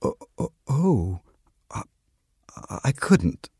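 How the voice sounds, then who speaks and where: A man voicing a friendly, wistful old lady in a story reading, with a gentle, chatty manner. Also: medium pitch, very animated, drawn-out words, husky, no echo, exaggerated voice. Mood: wistful, flustered. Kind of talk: literary story reading with character dialogue.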